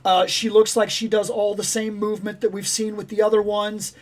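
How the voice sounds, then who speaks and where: A man hosting a toy livestream in casual, rambling chat, with a voice going raspy and hoarse. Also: high voice, brisk. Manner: rambling, animated, self-interrupting. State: raspy, losing his voice. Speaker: toy channel livestream host.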